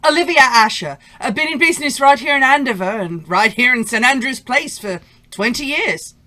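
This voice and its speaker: A woman acts out a cheerful, chatty older shop woman in a radio drama. Her voice is high and sharp-edged. It bounces up and down as she talks. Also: lively intonation, normal speed. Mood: chatty, obliging. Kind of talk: old-time radio drama acting.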